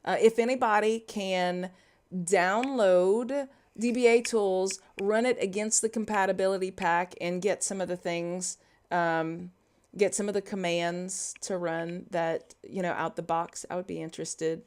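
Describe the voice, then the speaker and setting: A woman's voice: lowish voice, steady tone, measured pace, a little mellow. A woman from Louisiana with a Cajun English accent, casually chatting through a livestream coding tutorial. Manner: chatty, reads viewer comments aloud and riffs on them. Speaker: livestreaming developer.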